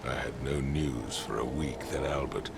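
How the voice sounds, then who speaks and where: A gravelly, imperious aristocrat. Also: male voice, moderate pace, noisy background, exaggerated voice. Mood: stern. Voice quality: deep gravelly. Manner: commanding, formal. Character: stern imperious older male aristocrat. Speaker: wealthy patron funding an expedition. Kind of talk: video game cutscene acting.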